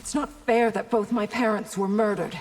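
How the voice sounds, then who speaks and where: A woman's voice: medium pitch, steady tone, normal speed, lightly acted. Woman as proud princess fighter, formal, questioning, video game, reverberant hall, faint noise. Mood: challenging. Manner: formal, pointed, regal.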